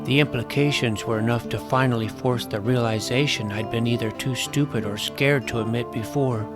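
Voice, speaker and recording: A man acts out a geeky, self-doubting boy hero of a fantasy audio drama. He is wry and earnest, speaking at a middling male pitch as he tells his own story. Music plays softly behind him. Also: steady tone, normal speed, lightly acted. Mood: realization. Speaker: narrator and lead character. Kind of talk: first-person scripted fantasy narration and dialogue.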